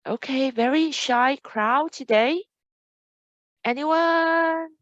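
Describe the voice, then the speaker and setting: A woman's voice: high-pitched, drawn-out words, no room sound, lo-fi sound. A woman hosting an online admissions webinar as a casual chat, upbeat and chatty as she reads out audience comments. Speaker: admissions host. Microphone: video call.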